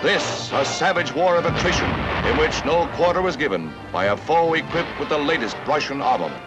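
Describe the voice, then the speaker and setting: A man's voice: on the high side, expressive, brisk. An American man narrating a scripted 1950s newsreel in a dramatic, emphatic, grave delivery. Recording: vintage newsreel soundtrack, loud music bed. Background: loud music.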